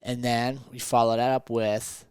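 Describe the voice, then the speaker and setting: A man's voice: medium pitch, little pitch movement, measured pace, a little mellow, smooth, no echo. Emphatic man talking motivation, close and dry. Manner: emphatic, asks rhetorical questions. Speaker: podcast host and self-improvement coach. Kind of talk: conversational motivational podcast talk.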